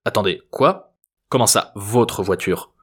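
A man's voice: fairly low pitch, a little slow, fairly bright tone, slightly rough. A man voicing a jaded, suspicious policeman in an audiobook story, with a curt, weary manner. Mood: baffled, weary. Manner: curt, would-be firm, weary.

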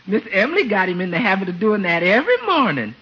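On a dull old radio recording, an actress plays a respectful housemaid. Her husky voice swings up and down, obliging and dutiful. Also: mid-range voice, big pitch swings, quick pace, fairly bright tone. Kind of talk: 1950s radio situation comedy acting.

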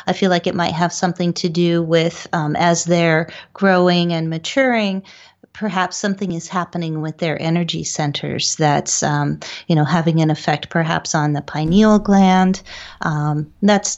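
Lowish-voiced woman, dull lo-fi sound. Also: a little mellow. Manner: tentative, thoughtful. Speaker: interview guest, paranormal researcher and medium. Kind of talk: conversational interview answer.

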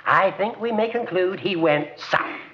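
An actor playing a fussy, pontificating older small-town judge in a 1940s radio comedy, wordy and orderly, on a muffled, noisy broadcast. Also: male voice, high voice, a little slow, pushed and bright. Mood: earnest.

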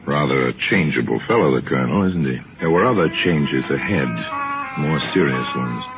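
A man narrating a vintage radio mystery in a wry, confiding, deliberate storytelling manner. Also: very deep voice, lively intonation. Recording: thin, narrow old radio recording, music. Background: dramatic music.

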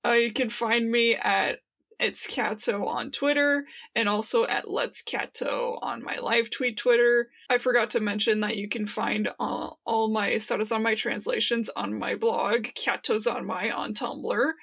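A woman's voice: on the high side, steady tone, measured pace, gravelly, a bit bright, breathy edge. A woman co-hosting a casual fan podcast, joking in a playful, mock-grandiose way. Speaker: podcast co-host.

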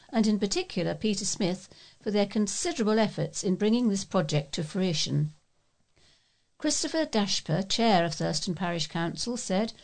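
A woman's voice: medium pitch, a little mellow. A woman with a British accent reading local news and letters aloud as a volunteer newsreader.

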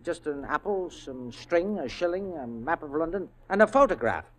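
A blustering householder with a lively, swooping voice that sits a bit high. Old radio play broadcast. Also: male voice, normal speed, poor audio. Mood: earnest. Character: fussy middle-aged householder, blustering but nervous. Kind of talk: 1940s radio acting.

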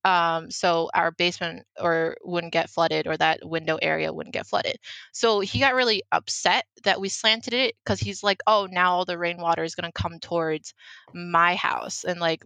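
A woman's voice: lowish voice, moderate pace, gravelly, pushed and bright, dry recording, low-quality recording. A young woman whose first language is Mandarin, telling a story in casual chat, full of "like" fillers and acted-out quotes. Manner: chatty, lots of "like" fillers, acts out quotes.

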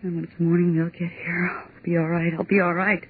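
A woman's voice: lowish voice, little pitch movement, brisk, a little hiss or hum, close to an everyday voice. An actress playing a terrified young office woman, pleading frantically, in 1940s radio acting style. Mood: whispering. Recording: tinny 1940s radio broadcast.

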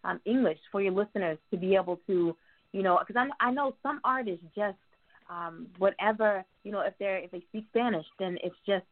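A woman's voice: medium pitch, a little fast. A woman hosting a casual interview, chatty and playful, laughing often as she asks her questions. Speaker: interview host. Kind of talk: casual interview over a call. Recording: phone-like narrow sound.

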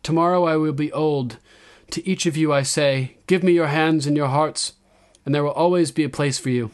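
A man's voice: on the high side, fairly even intonation, moderate pace, pitched above the performer's own voice, lightly acted. A resolute young queen, acted out by a male audiobook reader, speaks in a raised, projected voice. She is regal and solemn, declaiming to her followers with firm command. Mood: resolute, proclaiming. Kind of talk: audiobook character acting.

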